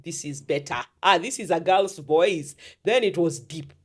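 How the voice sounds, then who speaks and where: A woman host with a deep, heavy voice, chatting at length in a casual, storytelling way. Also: measured pace, husky. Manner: talkative, storytelling, mixes in Swahili words. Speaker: podcast host and therapist. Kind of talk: casual conversational interview.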